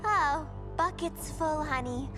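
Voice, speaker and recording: A woman's voice: high voice, takes it slowly, music in the background. An actress playing a cheeky, irreverent clown girl antihero in a video game, teasing and sassy.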